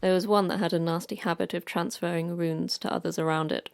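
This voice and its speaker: A woman's voice: lowish voice, steady tone, a little fast, vocal fry, a little mellow. A woman playing the terse, stern manager of a secret facility in a sci-fi horror audio drama, speaking in clipped, commanding instructions. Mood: grave, instructive.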